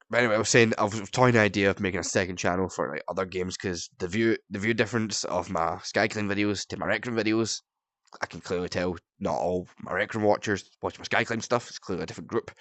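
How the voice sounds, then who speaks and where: Fast-talking young Scottish man, raspy and sick. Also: fairly low pitch, dry recording. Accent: Scottish, partly softened. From Scotland. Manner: swears often, heavy filler "like", rambling. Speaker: gaming YouTuber. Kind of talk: casual ranting vlog.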